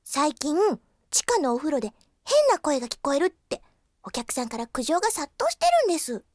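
Very high-pitched, sweet young girl. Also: very animated, fairly quick. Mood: earnest, concerned. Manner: polite, girlish, earnest. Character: sweet, naive young girl, polite and excitable. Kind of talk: Japanese audio drama acting.

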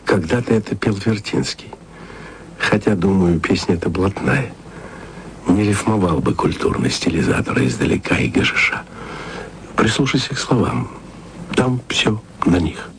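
An actor playing a wistful radio archivist who speaks softly and intimately to his beloved in a radio play. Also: animated, moderate pace, slight background noise. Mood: musing. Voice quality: low, gravelly voice. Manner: soft, intimate, sometimes whispered. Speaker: radio man speaking to his distant lover.

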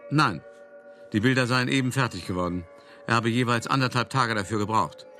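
Actor as urbane, deliberate art dealer, confiding his past. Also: mid-range voice, bright, forceful tone, faint music behind, close to an everyday voice. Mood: reflective. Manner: deliberate, confiding, addressing the listener directly. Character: calculating male art dealer, urbane, self-justifying, recounting his past. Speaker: narrator of a radio play. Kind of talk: first-person dramatic monologue in a German radio play.

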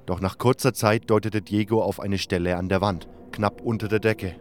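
A man's voice: on the low side, steady tone, moderate pace. A man reading scripted first-person narration for a fantasy audio drama. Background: background music.

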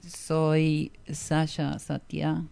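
A woman with an Argentine accent chatting casually as a musician guest in an interview, playfully joking in a deadpan way. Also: low voice, very slow, creaky, smooth.

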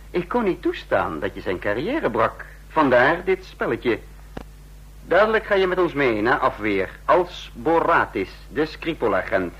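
A stern spy chief in a vintage radio play. His voice is very husky and swings widely in pitch, with clipped authority and a cool, calculating edge. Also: a bit bright, muffled recording, exaggerated voice. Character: stern, shrewd male intelligence chief, cool and commanding. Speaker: narrator and lead actor in a radio drama.

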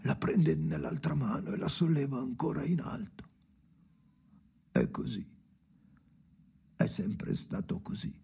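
Gravelly, slow old man, anguished. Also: fairly high pitch, lively intonation, mellow, heavily acted. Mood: bleak, weary. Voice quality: creaky, gravelly. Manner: halting, fragmentary phrases with heavy pauses. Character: weary, anguished old man, haunted storyteller alone by the sea. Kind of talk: experimental radio drama monologue.

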